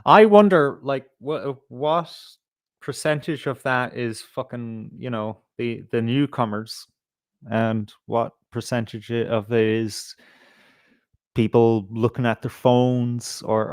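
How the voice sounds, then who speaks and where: Man, middle-aged, Irish accent, unhurried, clean smooth tone, dry sound, sweary, rambling live-stream chat. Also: medium pitch, no echo. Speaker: livestream host. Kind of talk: casual live-stream commentary, reading viewer comments.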